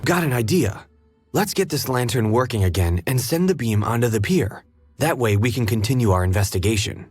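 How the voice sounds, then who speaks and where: An actor playing a composed, earnest detective in an adventure game, courteous and deliberate as he guides his partner. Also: mid-range voice, lively intonation, a little mellow, faint music behind, lightly acted. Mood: composed, resolute. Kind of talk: scripted adventure game dialogue.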